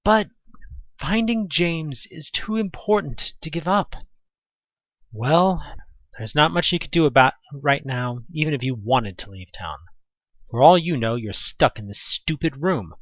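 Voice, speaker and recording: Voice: mid-range voice, animated, unhurried, fairly bright tone, no echo, dull audio. A narrator reading a second-person horror audio drama expressively, with dramatic delivery and conversational asides.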